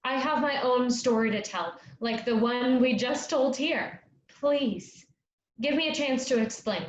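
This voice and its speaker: A woman playing an earnest young travelling player in a staged reading of a play, speaking with measured delivery. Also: a little high, natural voice. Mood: earnest pleading. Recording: echoey, dull lo-fi, noisy room.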